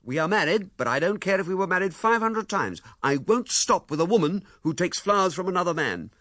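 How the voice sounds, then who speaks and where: An indignant young husband, played by a male reader, hurls accusations in a raised, forceful voice. His pitch swings widely as he speaks. The sound is dry and close to the mic. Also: sing-song, moderate pace, vocal fry, breathy edge, dry recording, exaggerated voice. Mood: furious. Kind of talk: audiobook character voicing.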